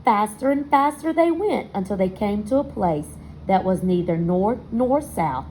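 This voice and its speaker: Woman, mellow and slow-paced, for an expressive children's read-aloud with asides to the listeners; faint noise behind. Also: mid-range voice, low-quality recording. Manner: expressive storytelling, pausing to ask listeners questions. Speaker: storybook reader.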